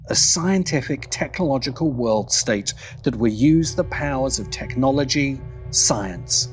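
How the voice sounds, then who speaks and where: Man narrating an essay with animated pitch. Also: breathy edge. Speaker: host and narrator of video essays. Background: background music.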